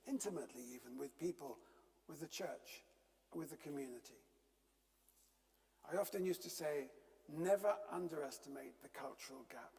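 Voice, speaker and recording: Gravelly older man lecturing in a church hall. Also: on the high side, darkish tone. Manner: measured, wry storytelling with frequent ums. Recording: long reverb of a church hall.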